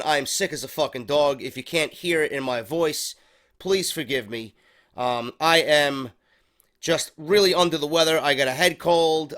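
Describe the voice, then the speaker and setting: A man's voice: fairly high pitch, fairly bright tone. A man with a stuffy head cold hosting a casual wrestling review show, rambling and swearing freely. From New York. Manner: profane, rambling, unscripted.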